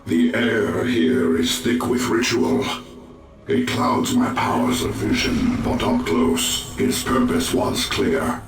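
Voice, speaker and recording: Slow, portentous alien seer. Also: male voice, sits low. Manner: formal, portentous. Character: solemn, mystical male elf-like alien seer. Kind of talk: video game cutscene acting. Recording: echoey, distant, music behind.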